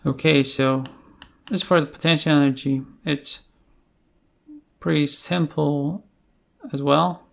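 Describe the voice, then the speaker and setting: A tutorial instructor explaining things off the cuff, in a halting way with pauses mid-phrase. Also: mid-range voice, steady tone, vocal fry. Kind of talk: unscripted screencast tutorial explanation.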